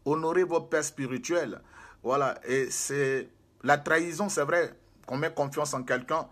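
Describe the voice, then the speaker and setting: A man's voice: fairly high pitch, measured pace, fairly bright tone. A man, preaching to a camera in a small room, emphatic and repetitive, gesturing as he speaks. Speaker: prophet and spiritual guide.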